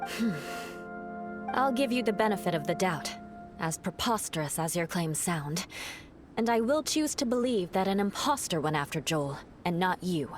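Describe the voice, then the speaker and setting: A woman's voice: lowish voice, expressive, moderate pace, soft background music, close to an everyday voice. Woman voicing a cool, haughty young knight. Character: proud, aloof young female knight captain.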